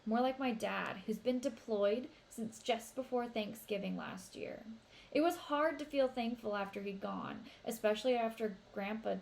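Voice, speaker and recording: A woman with a fairly high voice reads a children's novel aloud. Her delivery is expressive storytelling, suited to young listeners. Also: gravelly. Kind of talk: expressive read-aloud narration.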